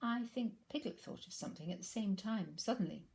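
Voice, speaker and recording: A gentle, simple bear, as a woman reader puts him on recites and muses in a mild, dreamy sing-song. His voice wanders up and down, slow-witted and sweet in a storybook telling. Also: mid-range voice, lively intonation, creaky, voice pushed up. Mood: musing.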